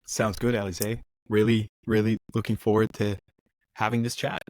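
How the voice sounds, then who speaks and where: A man's voice: on the low side, moderate pace. A middle-aged man with an American accent, telling stories from his career as a guest in a casual interview, often restarting his sentences. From United States. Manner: relaxed storyteller, frequent restarts. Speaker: podcast guest.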